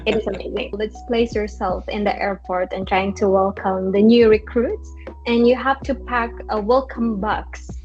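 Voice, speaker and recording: A woman's voice: mid-range voice, fairly even intonation, moderate pace, darkish tone, lo-fi sound, faint music behind. Cheerful woman podcast host. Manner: cheerful, encouraging, many "yes" and "mm-hmm". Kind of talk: casual interview chat.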